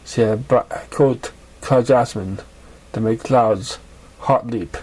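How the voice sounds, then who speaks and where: A man narrating scripted fiction as an amateur reader, halting and sometimes stumbling over his words. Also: medium pitch, darkish tone, slightly rough, rough recording.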